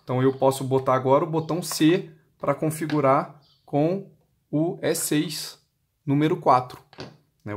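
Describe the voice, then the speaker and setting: A man with a Brazilian accent demonstrating a product step by step, explaining by asking and answering his own questions. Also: fairly high pitch. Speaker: product demonstrator for motorcycle intercom seller.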